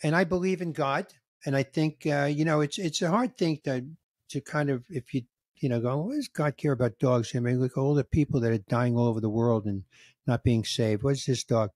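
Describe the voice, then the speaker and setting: A man in his sixties hosting a podcast, telling a story in a casual, animated way and acting out the dialogue, with some emotion. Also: medium pitch, brisk, no echo, clean studio sound. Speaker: podcast host, comedian. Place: home room with record shelves. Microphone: studio mic on boom, headphones, video call.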